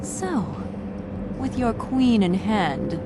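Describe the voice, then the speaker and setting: A woman playing a regal, scheming and seductive dark elf princess in a fantasy game, with formal, imperious diction. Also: on the high side, animated, drawn-out words, background noise. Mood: smug, seductive. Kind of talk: fantasy video game cutscene acting. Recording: loud music under the voice.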